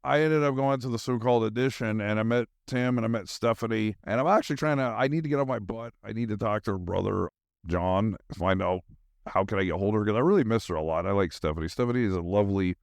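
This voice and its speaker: A man's voice: on the low side, fast, vocal fry, no room sound, clean studio sound. A middle-aged man with an American accent, hosting a podcast in a casual, rambling, slangy monologue. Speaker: podcast host.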